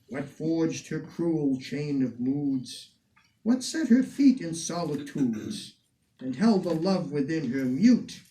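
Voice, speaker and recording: A man reciting old poetry dramatically in a measured, declamatory way, with deliberate phrasing and emphatic swells. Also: mid-range voice, mellow. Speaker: reader of poetry, museum curator. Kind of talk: dramatic poetry recitation in the person of a 19th-century poet. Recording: distant microphone with some background noise.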